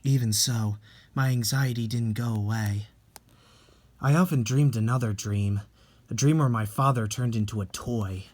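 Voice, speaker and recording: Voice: mid-range voice, fairly even intonation, normal speed, a little mellow. A storyteller giving a scripted, dramatic narration of a sad manga tale.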